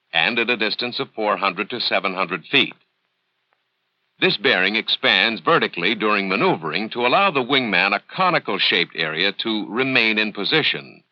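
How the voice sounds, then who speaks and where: An American man narrating a formal instructional film script in a measured, authoritative, precise manner, on an old 1970s film soundtrack. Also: on the low side, no echo. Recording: dull, dry 1970s training film soundtrack.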